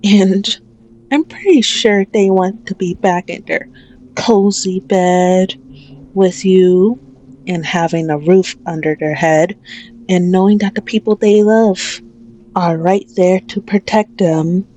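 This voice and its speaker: A woman's voice: medium pitch, fairly even intonation, slow pace, dark tone, slight background noise, lightly acted. A woman playing a possessive, manipulative female mafia boss in an ASMR audio roleplay, menacing and taunting with a mock-tender manner.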